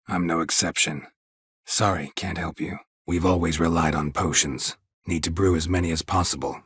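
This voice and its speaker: Weary video game monster hunter: American, very husky, low, terse and deadpan. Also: male voice, dry recording, clean studio sound, full character voice. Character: gruff, weary, sardonic male monster hunter.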